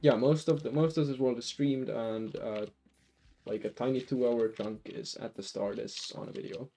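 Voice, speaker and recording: Finnish man, mellow rambling streamer. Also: mid-range voice, fairly even intonation, normal speed, faint music behind. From Finland. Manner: rambling, lots of restarts and fillers. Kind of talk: casual live-stream chat while gaming.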